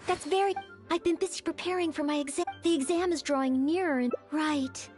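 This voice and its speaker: A woman's voice: sits high, steady tone, brisk, darkish tone, faint music behind, lightly acted. A woman voicing an earnest, modest young maid and aspiring knight in an anime-style video game, flustered and hesitant. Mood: earnest, anxious.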